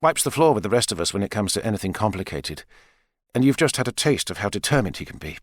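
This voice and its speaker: A man's voice: lowish voice, sing-song, fast, no room sound. A man voicing a blunt, exasperated young woman with a curt, dry manner in an audiobook.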